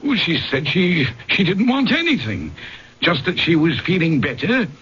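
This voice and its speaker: An actor playing a deferential, talkative household servant who explains himself hesitantly, in old-time radio drama style. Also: male voice, fairly high pitch, big pitch swings, moderate pace, bright, forceful tone, slightly rough, full character voice. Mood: flustered, explaining. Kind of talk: period radio drama acting. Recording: dull old radio recording, faint noise.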